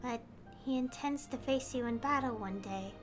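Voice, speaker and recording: A woman streamer reading game dialogue aloud in a slow, soft, unhurried way. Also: on the high side, music in the background.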